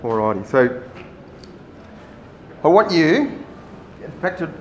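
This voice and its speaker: A man running a training workshop, giving relaxed, rambling instructions to the group. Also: on the high side, slow pace. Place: meeting room. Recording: echoey room, faint noise.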